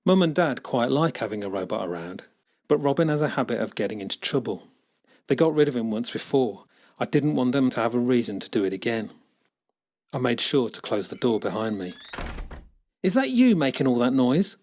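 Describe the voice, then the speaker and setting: A man reading a children's story as scripted narration, expressive and dramatic in his delivery. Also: mid-range voice, brisk. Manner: expressive, dramatic character shifts. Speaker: author reading own book.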